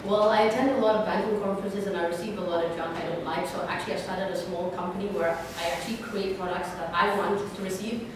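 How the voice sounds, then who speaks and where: A woman introduces herself on a live panel, brisk and to the point. A long reverb trails after her words in a large hall. She is heard from a distance, with noise underneath. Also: on the low side, fairly even intonation. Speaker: family office panelist. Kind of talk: panel discussion. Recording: echoey hall, distant mic, background noise.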